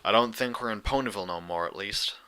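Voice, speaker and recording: A man voicing a steady, folksy young female farm pony with a casual twang in a story reading. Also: on the low side, fast talker, smooth. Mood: low-key wary.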